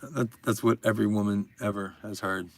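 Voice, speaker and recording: A gravelly, fry-laden man with a drawl talking to his viewers in a loose, rambling stream. He swears freely and jokes around, and his voice sits close and dry, with no room sound. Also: on the low side, steady tone, fairly quick, dry recording. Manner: rambling, profane, joking with chat. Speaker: livestream host. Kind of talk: casual livestream chat. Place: at home.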